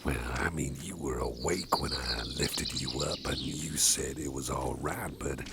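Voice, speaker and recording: A man playing a whispering, gravelly softie boss. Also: a bass voice, measured pace, very noisy. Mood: gentle. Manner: soft, close whispering with chuckles. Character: protective, soft-hearted male boss. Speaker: ASMR roleplay performer. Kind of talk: ASMR personal attention roleplay.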